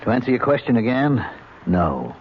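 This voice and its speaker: A man's voice: mid-range voice, big pitch swings, normal speed, slightly rough. An actor playing a patient, probing police inspector in an old-time radio drama, pressing his questions dryly. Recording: muffled, very noisy vintage radio recording.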